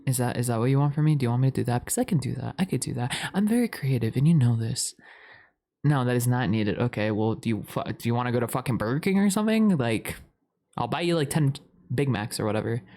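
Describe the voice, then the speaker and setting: A man's voice: mid-range voice, expressive, fast talker, mellow. Rambling, playful young man. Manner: rambling, giggly, playfully dramatic, frequent swearing. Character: over-caffeinated, lovestruck, chatty boyfriend. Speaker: ASMR roleplay performer playing a talkative boyfriend. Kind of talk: improvised rambling boyfriend ASMR roleplay.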